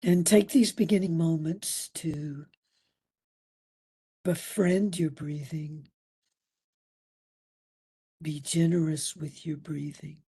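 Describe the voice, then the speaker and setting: A woman instructor leading a guided meditation, soothing and deliberate, with long pauses and a breathy voice. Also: sits low, steady tone, warm tone. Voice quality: breathy, at times near a whisper.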